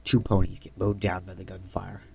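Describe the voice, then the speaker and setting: A man giving an amateur dramatic reading of his own story, halting and often stumbling and correcting himself. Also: low voice, completely flat delivery, creaky, husky. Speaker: reader of own fan fiction. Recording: dull lo-fi mic in echoey room.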